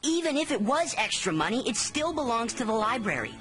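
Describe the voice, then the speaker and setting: A woman voicing an excitable, nerdy and conscientious young kid in a cartoon, gushing and earnest. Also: fairly high pitch, brisk, soft background music. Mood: cautioning. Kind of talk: animated cartoon voice acting.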